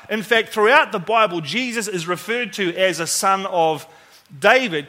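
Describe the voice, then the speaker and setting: A man's voice: high voice, pushed and bright. A man preaching informally to a church congregation in an enthusiastic, joking, conversational way, often putting questions to the audience. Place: speaking live to a gathering at church.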